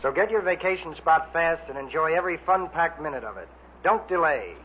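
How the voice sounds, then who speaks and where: A man's voice: a little high, expressive, fairly quick. An American man reading scripted copy as a vintage radio announcer. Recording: tinny, faintly noisy vintage radio recording.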